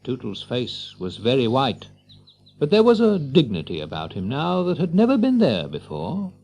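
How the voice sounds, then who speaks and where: A man narrating a children's story with an expressive, theatrical storyteller's delivery. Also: medium pitch, moderate pace, gravelly, slightly rough.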